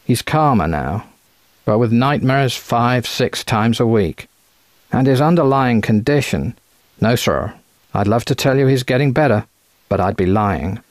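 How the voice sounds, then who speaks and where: A man's voice: medium pitch, animated, unhurried, dry recording, close to an everyday voice. Man playing a quacking, folksy American doctor. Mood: earnest, folksy. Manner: chatty, folksy, clipped phrases. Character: thin, eager American physician running a sanitarium.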